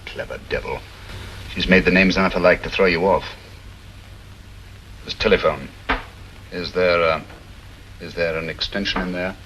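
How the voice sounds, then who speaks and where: An actor playing a shrewd, courteous detective who questions people crisply and with authority in an old detective drama. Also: male voice, deep voice, normal speed, long pauses, a bit bright, poor audio. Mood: urgent, intent. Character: shrewd, commanding male private detective, courteous and probing. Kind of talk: old-time detective film acting. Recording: old soundtrack with background noise.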